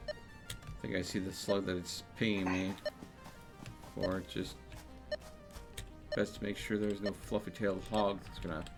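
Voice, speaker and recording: A man's voice: sits low, level tone, a little slow, pauses often. A man giving casual live gameplay commentary, thinking aloud with playful exclamations. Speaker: video game streamer. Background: video game music.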